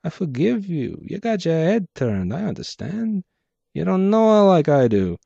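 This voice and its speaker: A man voicing a theatrical, gloating clockwork gangster boss with taunting, drawn-out phrasing in a noir audiobook. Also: on the high side, sing-song, vocal fry, mellow, no room sound, lo-fi sound, voice pushed up, exaggerated voice. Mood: smug, magnanimous. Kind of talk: dramatic audiobook character voice, noir pulp.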